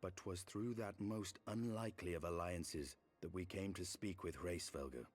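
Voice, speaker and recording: A deep, husky knight, grave and battle-worn. He speaks in measured, archaic formal phrases as in a fantasy game cutscene, with faint music behind him. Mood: grave, reflective.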